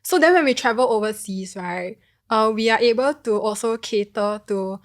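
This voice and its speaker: Higher-voiced Singaporean woman, casual chat. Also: normal speed. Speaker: podcast co-host.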